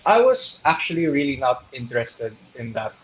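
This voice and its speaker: A man's voice: medium pitch, big pitch swings, moderate pace, dull audio, slight background noise. Young man with a lilt. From Philippines. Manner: switches between English and Tagalog in loose, rambling sentences. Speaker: guest. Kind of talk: casual interview chat.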